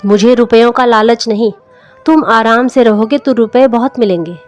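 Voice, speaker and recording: A woman voicing a caring young wife who gently chides, in a story reading. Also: fairly high pitch, normal speed, natural voice. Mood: caring, chiding. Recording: music under the voice.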